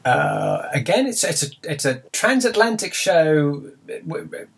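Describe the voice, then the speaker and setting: A man's voice: fairly high pitch, sing-song, unhurried, vocal fry, slightly rough. A man hosting a cycling podcast roundtable in a teasing, chatty way, his voice thin and tinny from a badly set up call input. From England. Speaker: podcast host. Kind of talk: casual roundtable chat. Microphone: computer call input. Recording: tinny thin sound from a misconfigured call setup.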